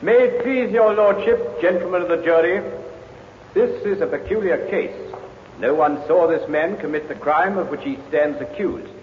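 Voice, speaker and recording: An actor playing a formal, grave prosecuting barrister in a 1950s radio drama, with measured, oratorical delivery. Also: male voice, fairly high pitch, a little hiss or hum, close to an everyday voice. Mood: solemn, accusing. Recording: tinny, echoey old radio recording, hall-like reverb.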